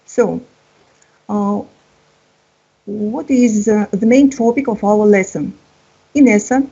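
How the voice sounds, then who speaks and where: Woman in her fifties, teacher, halting and encouraging, mellow husky tone over dull call audio. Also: mid-range voice. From Russia. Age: 50s. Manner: hesitant, many uh fillers, encouraging. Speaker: English teacher. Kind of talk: online exam-prep lesson. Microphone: video call.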